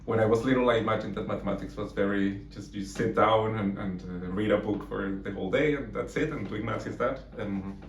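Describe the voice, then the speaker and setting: A man's voice: fairly low pitch, a little fast, few pauses. A man from Colombia, a mathematics lecturer in his thirties, answering interview questions thoughtfully with many fillers and restarts. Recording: echoey room from far off, faint noise.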